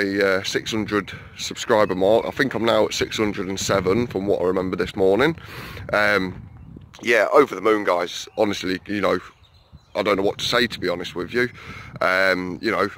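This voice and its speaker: Man, low voice, casual gardening vlogger filming outdoors on a handheld phone, talks in loose rambling asides. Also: fairly bright tone, slight background noise. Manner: chatty, rambling, many "um"s and asides to viewers. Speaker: allotment vlogger. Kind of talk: casual walk-around vlog. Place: outdoors on an allotment and inside a greenhouse. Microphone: handheld phone camera, filming while walking.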